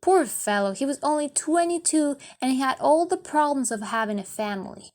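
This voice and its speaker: A woman reading scripted story narration in a careful, deliberate manner. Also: high voice, expressive, a little mellow. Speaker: audiobook reader.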